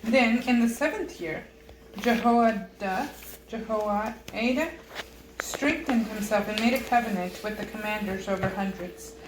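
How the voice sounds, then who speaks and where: A woman reading scripture aloud in a halting manner, stumbling over unfamiliar names. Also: mid-range voice. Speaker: Bible reader. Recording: roomy echo with loud background noise.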